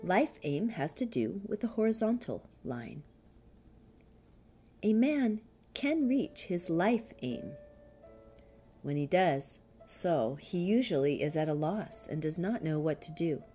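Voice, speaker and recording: A woman reading a spiritual commentary as an audiobook, in a measured, deliberate manner with frequent pauses. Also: fairly low pitch, big pitch swings, mellow, faint music behind.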